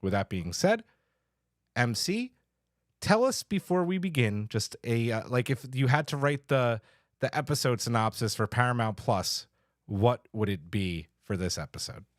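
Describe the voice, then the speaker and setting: A man's voice: mid-range voice, expressive, moderate pace, no echo, studio quality. A man hosting a podcast in an enthusiastic, casual, chatty way. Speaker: podcast host.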